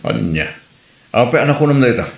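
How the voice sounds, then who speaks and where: A man with an Ilocano accent playing a gruff, disbelieving husband and father who grumbles curtly in a comedy radio drama. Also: medium pitch, huge pitch swings, brisk. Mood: indignant, disbelieving. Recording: roomy, echoey voice recording.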